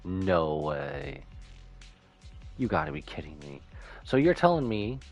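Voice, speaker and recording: A man's voice: sits low, big pitch swings, slow pace, creaky, faint music behind. A man streaming a game with casual live reaction commentary, slangy, joking and incredulous in manner.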